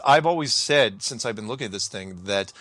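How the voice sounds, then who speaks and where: Bright-voiced male host, close and dry. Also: on the low side, lively intonation, no room sound. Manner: agreeable, halting, thinking aloud. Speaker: show host. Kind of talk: casual interview chat.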